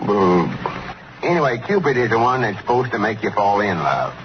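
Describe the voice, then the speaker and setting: A folksy, scheming storekeeper in a vintage radio comedy. His voice is low, with a rural Southern American drawl and a self-important, persuasive manner. The old recording is dull and clearly noisy. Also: very animated, moderate pace. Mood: impatient explaining.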